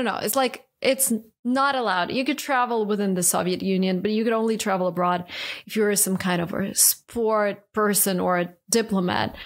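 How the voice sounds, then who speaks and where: A woman in her thirties from Russia, speaking English with a Russian accent as a podcast guest, animated and casual with many fillers, recorded at home on a desk mic. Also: mid-range voice. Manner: animated, many likes and restarts. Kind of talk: casual interview. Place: home study with bookshelves, indoors. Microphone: studio mic on desk, earbuds.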